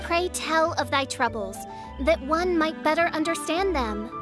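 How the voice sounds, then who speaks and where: A woman's voice: high voice, animated, a little slow, music in the background. A woman voicing a gloomy, grandiose self-styled young princess in a video game, speaking in pompous, melodramatic, archaic phrases. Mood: haughty. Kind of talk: animated video game dialogue.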